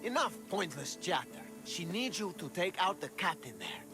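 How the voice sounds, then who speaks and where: High-voiced, jealous lovesick game character. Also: male voice, big pitch swings, moderate pace, creaky, over music, heavily acted. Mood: scornful. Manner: haughty, defensive, sneering. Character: jealous, lovesick young man giving mercenary orders. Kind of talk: video game dialogue acting.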